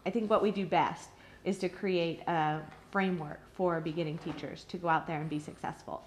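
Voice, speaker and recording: A woman's voice: lowish voice, fairly even intonation, moderate pace. A woman speaking as an instructor, explaining a program in a promotional interview. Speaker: early childhood education instructor. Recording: faint background noise.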